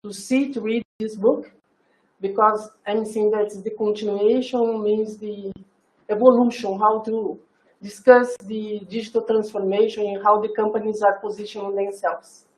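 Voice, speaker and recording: A woman introducing a guest speaker at an event, searching for words with many pauses and fillers. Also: mid-range voice, little pitch movement, a little mellow. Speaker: event host. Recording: echoey lecture room.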